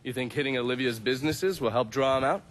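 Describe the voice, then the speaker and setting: A man's voice: medium pitch, fast talker, fairly bright tone, close to an everyday voice. A man playing a wry American intelligence operative in a video game, casual, dry and foul-mouthed. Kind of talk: cinematic video game acting.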